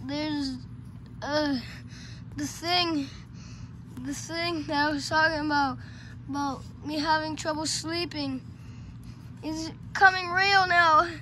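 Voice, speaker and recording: A female performer voicing a frightened, sleepless boy in an amateur horror skit, whining, halting and pleading as he repeats himself. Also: sits high, fairly even intonation, sharp tone, exaggerated voice. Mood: anxious, insistent. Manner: whiny, halting, repetitive, pleading. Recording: noisy homemade recording.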